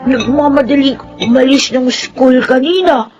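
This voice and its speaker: Slow-talking, high-voiced little Filipino schoolgirl. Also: darkish tone, lo-fi sound, soft background music. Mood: puzzled, pleading. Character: little schoolgirl, sweet and inquisitive daughter. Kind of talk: television drama dialogue.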